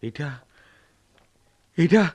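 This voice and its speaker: Slow, soulful village husband. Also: male voice, darkish tone, dry recording. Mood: bewildered. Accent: rural Bangladeshi. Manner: halting, heavy with feeling. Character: bewildered, soulful village husband and new father. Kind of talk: Bengali radio play acting.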